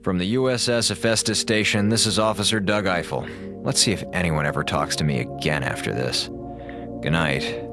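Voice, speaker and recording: A man's voice: low voice, moderate pace, music in the background. A man playing a hapless, exasperated space station officer in an audio drama, sardonic and easily flustered.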